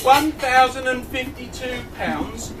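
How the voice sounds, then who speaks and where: A man's voice: very high voice, slow. A man playing an exasperated, hard-up henpecked baron in an amateur pantomime, blustering and playing to the audience. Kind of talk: amateur pantomime stage acting. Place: live amateur show at a tavern venue. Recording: echoey hall from far off, noisy crowd.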